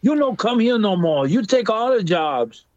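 A man's voice: high-pitched, big pitch swings, moderate pace, darkish tone, no echo, pitched above the performer's own voice. Man putting on a bossy broken-English gatekeeper voice. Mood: mock scolding. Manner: clipped, exaggerated broken English. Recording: dull lo-fi call audio.

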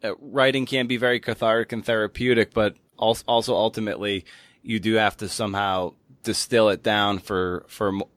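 A man hosting a podcast interview, asking questions conversationally in halting, circling phrases with repeated words. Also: on the low side, little pitch movement, fairly bright tone, dry recording.

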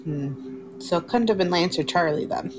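A woman's voice: fairly low pitch, normal speed, over music. A woman playing a tabletop game, chatting casually and asking curious questions. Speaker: player.

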